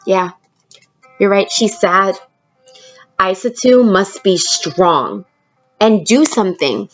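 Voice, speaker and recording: Slow-paced woman reading a storybook aloud. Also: medium pitch, dull audio, soft background music. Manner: expressive, pauses to prompt young listeners. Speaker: teacher reading a picture book aloud. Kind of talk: storybook read-aloud with questions to children.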